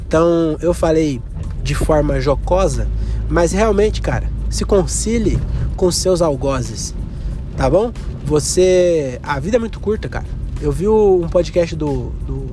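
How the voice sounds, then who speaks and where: A man's voice: a little high, expressive, measured pace, dark tone, soft background music. A young man hosting a solo podcast, rambling and joking with crude slang, coughing from a cold. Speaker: podcast host. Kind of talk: solo comedic podcast monologue.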